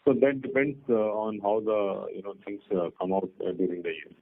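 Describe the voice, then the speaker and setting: A man with an Indian accent answering questions as a company executive on an earnings call, explaining things in a halting way. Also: lowish voice, steady tone, creaky. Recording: muffled narrow telephone conference line.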